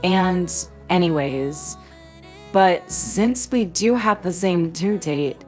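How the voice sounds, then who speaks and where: A woman playing a calm, persuasive mother of a teenager in an amateur voiced roleplay, with a gentle, explanatory manner. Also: on the low side, slow pace. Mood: coaxing. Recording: music behind the voice.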